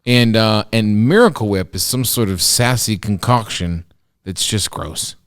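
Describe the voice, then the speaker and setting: A man's voice: on the low side, expressive, unhurried, dry recording, clean studio sound. A man co-hosting a podcast in casual banter, teasing his co-host and explaining food at length with enthusiasm.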